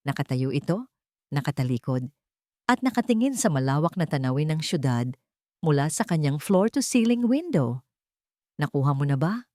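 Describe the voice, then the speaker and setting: A female narrator tells a dramatic story from a script. Her voice is a little low for a woman, and the recording is close and dry, with hardly any room in it. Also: big pitch swings, normal speed, darkish tone, dry recording.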